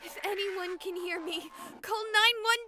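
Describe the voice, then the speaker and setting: Very high-pitched, excitable young woman streamer. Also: a little slow, soft background music, exaggerated voice. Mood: terrified. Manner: high-strung, dramatic. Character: excitable young woman streamer, easily panicked, exploring haunted hospital. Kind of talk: animated visual novel voice acting.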